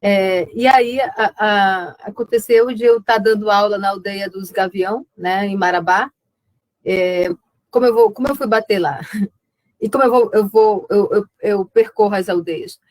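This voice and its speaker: A woman's voice: medium pitch, a little slow, a little mellow. An invited woman writer on an online panel, northern Brazilian accent, thinking aloud and circling back over her words in a dry, echo-free spot. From Amazonas, Brazil (Alto Solimões). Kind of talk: conversational talk in a web conference. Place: remote web conference.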